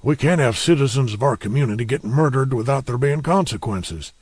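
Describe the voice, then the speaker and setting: A man's voice: mid-range voice, expressive, brisk, darkish tone, breathy edge, dry recording, voice pushed up. A man voicing a stern older townsman in an audiobook, speaking in a grave, defensive tone.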